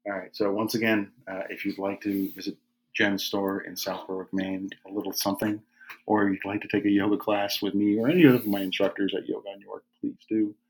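A man's voice: on the low side, little pitch movement, brisk, rough recording. A man co-hosting a podcast in casual conversation, teasing and wry. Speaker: podcast co-host, yoga studio owner.

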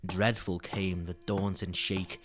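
An actor playing a grave chronicler, declaiming dire alliterative verse in a solemn, measured way. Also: male voice, low voice, level tone. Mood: grave foreboding. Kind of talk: dramatic alliterative verse recitation. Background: music.

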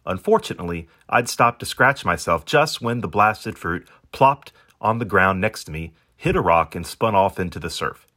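A man's voice: deep voice, expressive, normal speed, few pauses, sharp tone, full character voice. A man reading as a pampered, proud, hungry stranded dog, narrating in a wordy, wry, self-important manner. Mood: exasperated. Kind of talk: first-person storybook narration in character.

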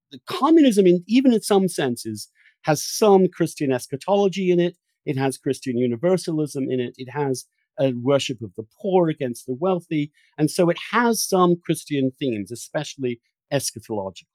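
A man's voice: a little high, warm tone, dry recording. Man in emphatic political discussion, dry sound. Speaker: podcast host.

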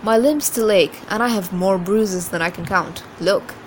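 A cocky young warrior played by a woman shows off with competitive mock pride in a storybook reading. There is clear noise behind the voice. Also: medium pitch, normal speed, lightly acted, rough recording. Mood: boastful, teasing. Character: boastful young male warrior comparing battle bruises.

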